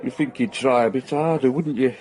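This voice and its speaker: An actor playing a boozy, seen-it-all old newspaper hack, sardonic and matey, in a radio comedy-drama. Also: male voice, medium pitch, brisk, darkish tone, lightly acted. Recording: pub crowd murmur behind.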